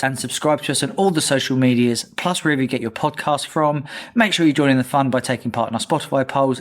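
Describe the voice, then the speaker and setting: A man hosting a podcast, trading jokey, sarcastic banter with his co-hosts. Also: medium pitch. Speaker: podcast host. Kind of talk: casual podcast chat.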